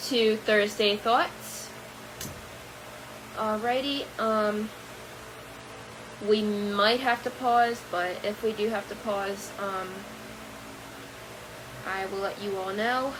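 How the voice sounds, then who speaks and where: A woman's voice: mid-range voice, steady tone, slow, long pauses, background noise. A woman hosting a casual podcast, introducing a video in a loose, rambling way with frequent ums. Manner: rambling with many ums, easygoing. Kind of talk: casual chat.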